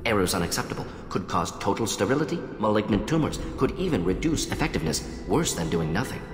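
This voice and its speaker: A man's voice: on the low side, very animated, fast. A male actor playing a proud, conflicted alien scientist in a video game, speaking in clipped, telegraphic phrases with small words dropped. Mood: brisk, clinical. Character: fast-thinking alien scientist doctor, proud, conflicted, defensive. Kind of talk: dramatic video game dialogue. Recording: echoey hall reverb, music behind.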